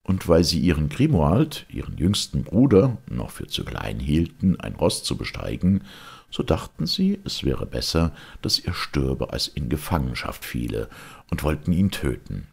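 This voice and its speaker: A man reading an old legend as a scripted literary narration, in a measured, expressive storytelling manner with a gravelly voice. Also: a bass voice.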